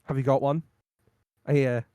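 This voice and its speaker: A man with a worn, hoarse voice talks casually through a podcast recap, wandering from point to point with plenty of ums. His voice sits mid-range and the sound is close and dry, with no room around it. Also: mid-range voice, little pitch movement, moderate pace, warm tone, dry recording. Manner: rambling, lots of ums and laughs. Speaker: podcast co-host. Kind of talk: casual wrestling recap chat.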